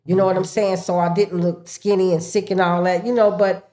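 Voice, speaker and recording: Woman, 60s, a bit low-pitched; animated guest storyteller. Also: fairly quick. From United States. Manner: animated storyteller, laughs often, acts out her family's voices. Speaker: podcast guest. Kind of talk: casual chat.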